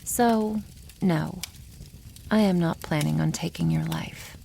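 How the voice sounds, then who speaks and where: Slow, low-voiced haughty dragon woman, acted by a woman. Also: mellow, slight background noise, heavily acted. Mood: amused, condescending. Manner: slow, condescending, teasing, often dropping to a breathy whisper. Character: haughty female dragon, teasing and possessive toward humans. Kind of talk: ASMR roleplay.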